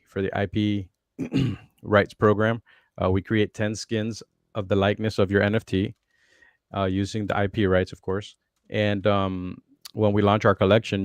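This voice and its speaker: A man co-hosting a podcast, calmly explaining a program with many ums and uhs. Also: lowish voice, clear, resonant tone, dry recording. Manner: steady, explanatory, many fillers. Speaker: podcast co-host. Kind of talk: casual explanation.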